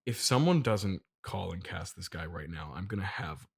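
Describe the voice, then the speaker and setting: A man's voice: sits low, expressive, normal speed, gravelly, slight background noise. An American man hosting an improvised comedy interview, wryly repeating things back with mock seriousness. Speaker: podcast interviewer.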